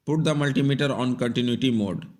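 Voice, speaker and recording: A man's voice: mid-range voice, quick pace, a little mellow. Man narrating a step-by-step repair tutorial. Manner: plain, methodical, instructive. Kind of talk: scripted step-by-step instructional narration.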